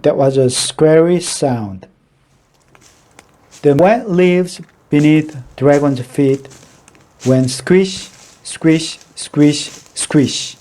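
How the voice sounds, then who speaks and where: A man reading a children's picture book aloud in a deliberate, dramatic storytelling manner. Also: on the high side, warm tone.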